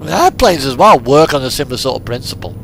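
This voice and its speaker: A man's voice: medium pitch, very animated, fast, gravelly, a bit bright, slight background noise. A British man in casual, rambling chat, telling crude, jokey anecdotes in the manner of a game streamer. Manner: crude, jokey, chuckles often. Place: indoors over driving game audio. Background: music and vehicle engine sounds.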